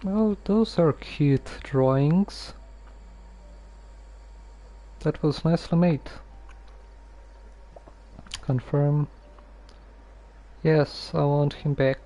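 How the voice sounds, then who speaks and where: A man giving casual game commentary as a streamer, musing aloud in a halting way. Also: fairly high pitch, warm tone.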